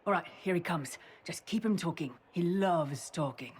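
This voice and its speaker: Low husky young soldier. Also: female voice, expressive, moderate pace. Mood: conspiratorial. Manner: earnest, casual. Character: good-natured young soldier, earnest and sheepish. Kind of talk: video game voice acting.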